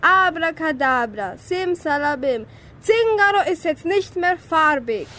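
A teenage girl playing a grumpy old witch who dotes on her cat in a school radio play, declaiming slowly. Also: very high voice, expressive, very noisy. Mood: fretting, coaxing.

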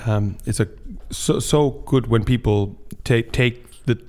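Man, Icelandic accent, medium-pitched voice, casual podcast guest, halting phrasing, searches for words. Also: a little mellow. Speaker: singer-songwriter and storyteller, podcast guest.

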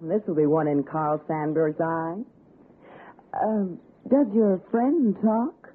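An actress playing an arch, teasing, flirtatious city wife in a 1960s radio suspense drama. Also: female voice, low-pitched, takes it slowly, breathy edge, heavily acted. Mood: amused, provoking. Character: mocking, flirtatious city wife of a folk-music scholar. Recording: tinny, noisy old radio broadcast.

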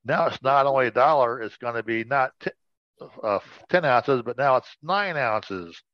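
A man's voice: medium pitch, expressive, normal speed, no echo. A man co-hosting in casual, joking chat, on a dull, slightly lo-fi recording. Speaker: co-host.

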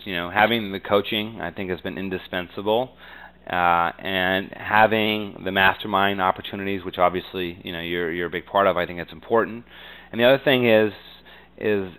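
An American man giving conversational interview answers as a business guest, earnest and halting, often saying "you know.". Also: low-pitched, pushed and bright, clean tone, poor audio.

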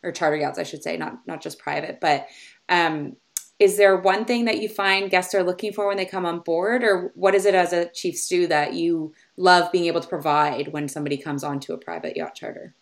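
A woman's voice: fairly low pitch, fairly even intonation, a little fast. A Canadian woman hosting a podcast interview, asking friendly, rambling questions in a conversational way. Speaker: podcast host.

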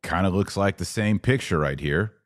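A man's voice: sits low, normal speed, dry recording, clean studio sound. A man with an American accent giving live podcast commentary in a conversational way, posing pointed rhetorical questions. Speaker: podcast host.

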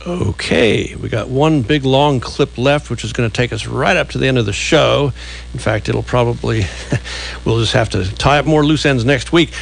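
A man's voice: mid-range voice, moderate pace, vocal fry, a little hiss or hum. A chatty American man co-hosting a radio show in casual, jokey talk. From United States. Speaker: co-host.

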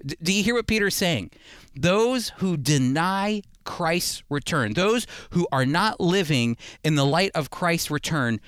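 A man's voice: a little high, animated, a little slow, a bit bright, clean tone, no echo, clean studio sound. A man preaching a sermon, addressing listeners directly and repeating key points for emphasis. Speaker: preacher and Bible teacher.

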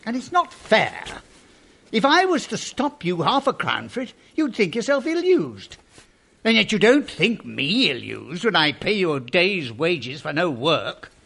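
A man's voice: high-pitched, wildly swooping pitch, measured pace, creaky, a bit bright, slightly rough, full character voice. An actor playing a cold, curt, scornful old businessman and miser in a radio drama. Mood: scornful grumbling. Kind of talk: theatrical radio drama acting.

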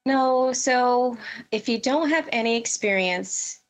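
A woman's voice: fairly high pitch, takes it slowly, rough recording. A middle-aged woman answering questions as a guest in a conversational interview. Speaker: podcast guest, veteran emergency dispatch professional.

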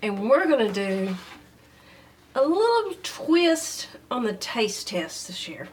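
A woman's voice: a little high, sing-song, slow pace. A woman co-hosting a garden radio show, speaking in brief, matter-of-fact casual chat. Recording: distant mic.

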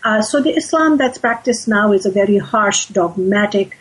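Husky-voiced older woman from Pakistan. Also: medium pitch, lively intonation, rough recording. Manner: measured, thoughtful, with frequent hesitations. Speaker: author and activist guest. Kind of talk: interview answers.

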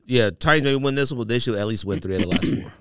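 A man's voice: medium pitch, rapid-fire, fairly bright tone, smooth, no room sound, studio quality. A man co-hosting a sports podcast, talking casually in slangy, opinionated banter.

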